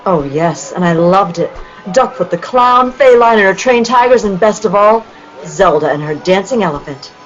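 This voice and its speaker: A woman playing a bright, eager young sweetheart in an old-time style radio play. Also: mid-range voice, expressive, normal speed, lo-fi sound, faint music behind, lightly acted. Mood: enthusiastic.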